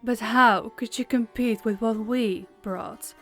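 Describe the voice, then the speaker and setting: A woman's voice: a little high, fairly even intonation, takes it slowly, a bit bright, faint music behind. A woman playing a smug, boastful vampire rival in an audio drama, with sing-song condescension. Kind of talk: cinematic audio roleplay acting.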